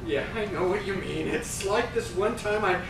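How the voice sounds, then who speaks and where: A man's voice: high-pitched, normal speed. Man playing a rambling monster, far from mic. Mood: excitable. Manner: rambling, stammering, repeating himself. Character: chatty, excitable male monster, oblivious and friendly. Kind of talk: staged reading of a comedy play. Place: indoor stage, recorded from the audience by far camera. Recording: distant, echoey theater, noisy background.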